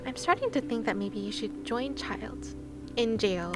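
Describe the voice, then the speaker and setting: A woman's voice: fairly high pitch, animated, measured pace. A woman livestreaming a game, chatting casually in a playful, teasing way with exclamations of wonder. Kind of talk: casual livestream chat over gameplay. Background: game music.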